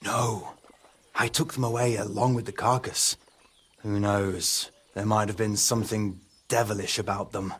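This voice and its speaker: A man's voice: fairly low pitch, fairly even intonation, a little slow, a bit bright, breathy edge. An actor playing a wary, superstitious village man in a medieval game, telling things in a folksy, hesitant way. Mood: uneasy. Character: wary, superstitious male medieval villager who keeps hens. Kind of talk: dramatic game dialogue acting.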